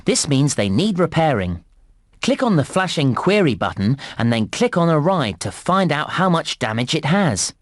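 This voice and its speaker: A man playing a friendly theme park guide in a children's game, giving tutorial instructions in an enthusiastic, encouraging and clearly instructive way. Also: a little high, sing-song, normal speed, no room sound, studio quality. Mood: upbeat, instructive. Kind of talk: scripted video game tutorial narration.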